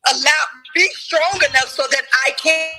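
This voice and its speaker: Forceful high-voiced woman arguing hard. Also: normal speed, faint music behind. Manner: forceful, emphatic, challenging questions. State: losing her voice. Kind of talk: heated debate.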